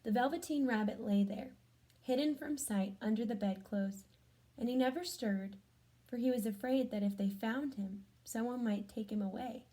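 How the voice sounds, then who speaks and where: Woman, slightly high voice, children's read-aloud, gentle storytelling, chatty asides. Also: normal speed, rough recording. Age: adult. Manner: gentle child-directed questions and comments.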